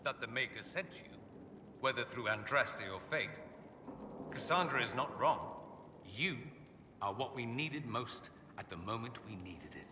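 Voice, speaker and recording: An actor playing a witty, haughty mage from a fantasy empire, speaking with suave, theatrically arch sarcasm in a video game. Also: male voice, medium pitch, animated, measured pace, far from the mic, lightly acted. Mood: reflective, sincere. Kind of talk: video game character dialogue. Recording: echoey hall, clear background ambience noise.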